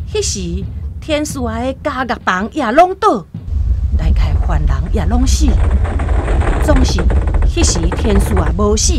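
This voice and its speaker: Slow, very animated Taiwanese woman storyteller. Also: high-pitched, noisy background. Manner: expressive, deliberate. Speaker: narrator. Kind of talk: dramatic scripted storytelling for radio. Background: music.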